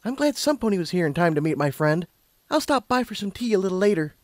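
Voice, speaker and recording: A man's voice: high voice, big pitch swings, fast, a little mellow, dry recording, pitched above the performer's own voice. A man voicing a confident, kindly female unicorn pony who explains things like a patient mentor. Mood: friendly concern. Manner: assured, explanatory.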